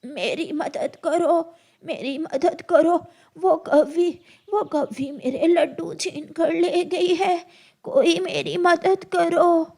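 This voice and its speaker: A woman voicing a frail, anxious elderly crow grandmother in a cartoon story, speaking haltingly and repeating her words. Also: extremely high pitch, fairly even intonation, creaky, dark tone, voice pushed up, exaggerated voice. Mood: distressed pleading. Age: older.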